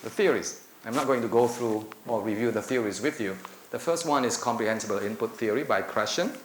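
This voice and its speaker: A man whose first language is Indonesian, giving a relaxed, conversational conference lecture to an audience. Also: mid-range voice, a little fast. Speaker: conference speaker. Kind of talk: academic conference talk. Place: lecture hall. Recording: echoey hall with faint noise.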